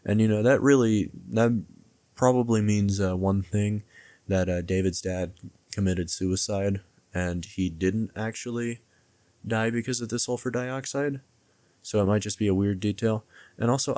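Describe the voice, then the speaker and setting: A man with a deep voice talks through casual commentary in a fairly monotone way. He rambles and hesitates, backing up to correct himself, and the sound is dry and close. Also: no echo. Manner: hesitant, rambling, self-correcting mid-thought. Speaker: video essayist reviewing and theorizing about a horror series. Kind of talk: casual, loosely scripted commentary.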